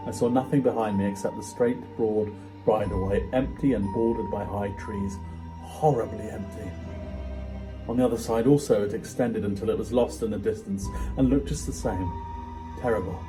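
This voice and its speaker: A man's voice: lowish voice, close to an everyday voice. A man playing a tormented, unravelling man in a dramatic, intense reading of a classic ghost story. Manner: theatrical, intense, swinging from hushed dread to agitation. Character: frightened, tormented man slowly unravelling, confessing his night terrors. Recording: music behind the voice.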